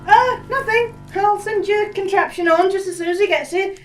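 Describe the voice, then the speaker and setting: A woman's voice: very high voice, moderate pace, faint music behind. A woman playing a fussy, evasive, deferential housekeeper in a comic gothic stage drama. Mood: uneasy, deferential. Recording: distant, echoey hall.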